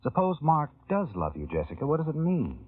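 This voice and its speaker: A man's voice: on the high side, huge pitch swings, fairly quick, breathy edge, dry recording. A man narrating a vintage radio thriller in the second person, in an insinuating, probing manner. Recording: tinny old radio broadcast with music.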